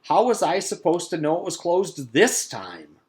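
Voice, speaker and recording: A man's voice: on the high side, very animated, measured pace, a little mellow, voice pushed up. A man voicing a pompous, blustering school principal in a storybook, with an emphatic, declamatory delivery. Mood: indignant.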